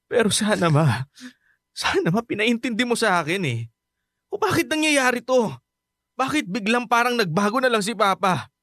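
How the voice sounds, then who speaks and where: A man's voice: high voice, very animated, normal speed, a bit bright, dry recording, studio quality. An actor with a Filipino accent playing an emotional, pleading teenage son in a radio drama, stammering through his lines. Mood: hurt, remorseful. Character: distraught teenage son refusing to believe his father left.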